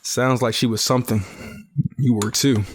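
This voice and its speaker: A man's voice: medium pitch, steady tone, normal speed, a little hiss or hum, close to an everyday voice. A man playing a grieving, bitter teenage survivor in a post-apocalyptic audio drama, earnest and brooding. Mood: guarded, regretful. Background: music.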